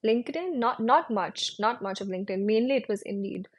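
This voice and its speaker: A young woman from India, a physical therapist, answering interview questions in a relaxed, conversational way, often hedging with "like" and "you know". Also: fairly high pitch, a little fast.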